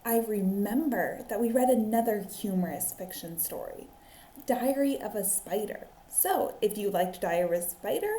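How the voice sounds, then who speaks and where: A woman teacher reading a storybook aloud to young children in a deliberate, expressive way, recorded in a roomy space. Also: mid-range voice, slight background noise. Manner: deliberate, expressive, with drawn-out phrases. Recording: roomy echo on the voice.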